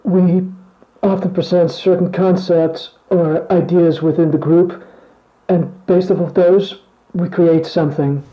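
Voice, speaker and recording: A man acting in a scripted mock band interview, speaking in a measured, plain and earnest way. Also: sits high, steady tone, muffled recording. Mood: calm, earnest.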